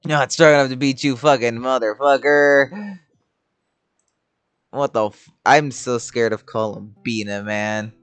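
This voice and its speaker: A man's voice: medium pitch, measured pace, fairly bright tone, clean tone, no room sound. A man giving casual, profane gameplay reactions, blunt and exclamatory like a streamer.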